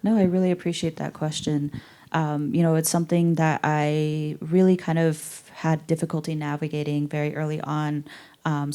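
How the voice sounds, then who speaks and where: Brief: young woman, very low and even voice, mellow tone, reflective and measured. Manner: measured, thoughtful, with fillers. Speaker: artist in conversation. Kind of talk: reflective conversational talk.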